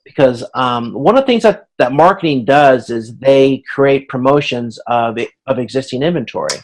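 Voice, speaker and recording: A man working as an instructor explains material in an informal online lecture, often pausing and repeating words as he goes. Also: mid-range voice, expressive, poor audio. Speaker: university instructor.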